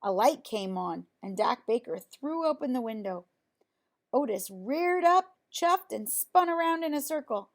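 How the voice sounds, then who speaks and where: A woman reading a children's picture book aloud in an expressive way, as if to young listeners. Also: fairly high pitch, measured pace. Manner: expressive, explains words simply to children. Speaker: storybook reader.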